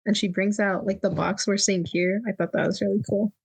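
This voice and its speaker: A woman's voice: mid-range voice, level tone, brisk, slightly rough, poor audio. A woman co-hosting a casual movie podcast, curious and giggly, asking lots of questions. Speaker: podcast co-host. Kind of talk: casual movie review chat.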